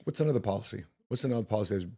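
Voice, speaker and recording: A man's voice: lowish voice, expressive, racing, gravelly, warm tone. A man who grew up in Chicago, hosting an interview, asking questions with many restarts and frequent laughs. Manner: stammers on restarts, laughs often. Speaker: podcast host. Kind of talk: casual interview.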